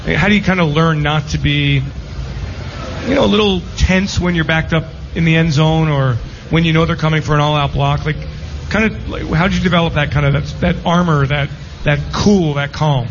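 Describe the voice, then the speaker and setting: A man with a fairly high voice asks questions as a radio co-host. His style is casual and live. The sound is a bit dull, with faint noise behind him. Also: steady tone, normal speed. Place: busy casino floor, live broadcast. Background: faint casino ambience.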